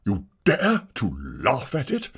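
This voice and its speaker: A man's voice: lowish voice, very animated, unhurried, breathy edge, pitched above the performer's own voice, exaggerated voice. A man voicing a proud, self-pitying theatrical man who declaims in wounded, bitter tones.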